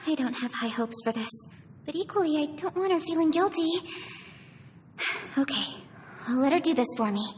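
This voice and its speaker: A woman's voice: sits high, expressive, normal speed, long pauses, a little mellow, soft background music. A woman voicing a proud, composed yet self-conscious young noblewoman in a video game, formal and precise. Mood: reserved.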